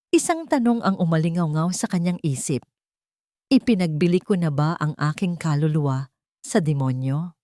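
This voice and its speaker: A woman's voice: sits low, a little mellow, no echo, studio quality. A woman reading a scripted dramatic story as its narrator.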